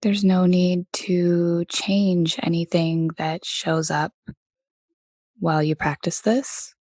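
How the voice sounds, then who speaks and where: A woman guides a meditation at a very slow, unhurried pace. Her voice is soft and sits a little low. She leaves gentle pauses between phrases, and the recording is dry and close. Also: little pitch movement, no room sound. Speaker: meditation teacher leading a body scan.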